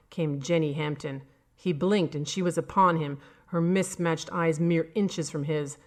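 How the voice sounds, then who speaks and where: A woman reading a scripted horror story as narrator. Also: sits low. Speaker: audio fiction narrator.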